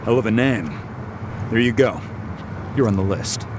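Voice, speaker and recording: An actor playing a gruff, chatty security recruiter in a video game, casual, wry and matter-of-fact. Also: male voice, lowish voice, moderate pace, lightly acted. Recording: noisy outdoor ambience behind.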